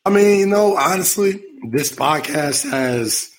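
A man hosting his podcast with a raspy, hoarse voice, talking loosely and swearing casually. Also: sits high, expressive, a little slow, slight background noise. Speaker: podcast host.

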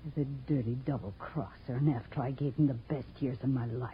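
An actress playing a brassy, scheming widow in 1940s radio crime style, sliding between sugary cooing and sneering. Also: female voice, very deep voice, steady tone, brisk, a little hiss or hum. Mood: curt, suspicious. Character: brassy, scheming gold-digger widow, cooing over her lapdog. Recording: muffled old-time radio recording.

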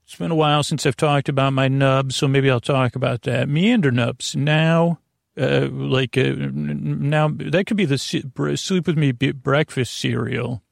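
A man hosting a bedtime podcast in a creaky voice, meandering hesitantly and often repeating and correcting himself. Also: mid-range voice, fairly even intonation, normal speed, dry recording, clean studio sound. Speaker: podcast host. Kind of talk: rambling bedtime sleep podcast monologue.

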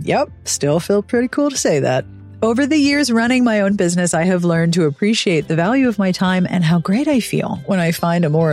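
A woman's voice: fairly low pitch, a little mellow. A woman hosting a podcast, reading her intro and ads in an upbeat, chatty and personal way. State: recovering from illness. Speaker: podcast host. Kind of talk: scripted podcast intro and host-read ads.

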